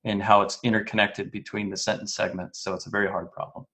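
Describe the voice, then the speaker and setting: Man explaining in a measured tone. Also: fairly low pitch, little pitch movement, pushed and bright. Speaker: guest expert on translation. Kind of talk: online interview answers.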